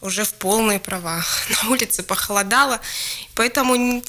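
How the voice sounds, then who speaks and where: A woman's voice: mid-range voice, fairly quick, bright, forceful tone. A woman hosting a radio talk show, speaking with a cold and laughing easily as she introduces her guest. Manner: friendly, laughs often, brief prompts. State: has a cold. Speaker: radio host.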